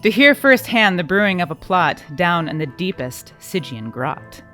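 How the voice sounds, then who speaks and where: A man's voice: high voice, sing-song, normal speed, sharp tone, soft background music. A man narrating a rhymed comic radio play in a mock-grand storytelling manner.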